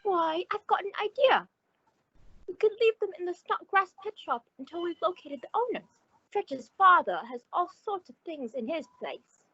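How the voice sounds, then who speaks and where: Woman acting a swooping, high-voiced fussy landlady. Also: animated, normal speed, sharp tone, no echo, exaggerated voice. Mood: chatty. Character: fussy, chatty, good-natured landlady. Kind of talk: old-time radio comedy acting. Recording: dull, muffled audio.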